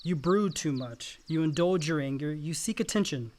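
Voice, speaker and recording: An actor playing a frank, hot-headed young younger brother in a naturalistic radio drama, casual and profane. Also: male voice, a little high, normal speed, a little mellow, lightly acted. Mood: frank, probing.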